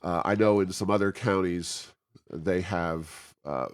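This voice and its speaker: An American man hosting a conversational interview, speaking haltingly as he searches for his words. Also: low-pitched, steady tone, clean tone. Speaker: podcast host and think tank president.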